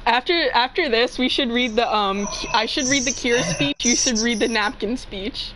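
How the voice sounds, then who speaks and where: A woman's voice: fairly high pitch, normal speed, heavy background noise. A young woman casually reading fanfiction aloud with joking banter, giggly and free with swearing. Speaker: fanfiction reader.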